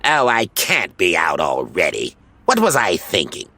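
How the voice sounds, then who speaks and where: Villainous storybook wizard voiced by a male narrator, irritable, scheming, theatrical, hugely animated. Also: sits low, measured pace, dry recording, heavily acted. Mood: self-reproach.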